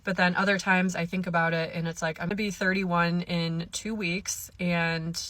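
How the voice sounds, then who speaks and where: A woman's voice: lowish voice, fairly bright tone, dry recording. A woman of thirty talking earnestly and reflectively about her life, with frequent fillers and restarts. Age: 30s. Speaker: vlogger. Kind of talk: personal confessional monologue.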